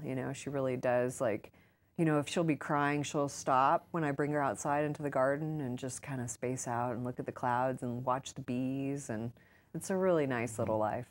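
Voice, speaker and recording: An American woman in her thirties talking casually as an interview guest, informal and peppered with "like" and "you know". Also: a bass voice. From United States. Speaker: guest author and urban farmer.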